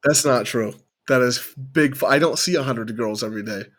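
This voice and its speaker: Raspy sick male host, mid-range voice, profane teasing banter. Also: mid-range voice, fairly quick, fairly bright tone. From Denver, Colorado area. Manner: teasing, profane, laughing often. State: raspy from illness. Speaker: streamer host. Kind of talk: casual chat.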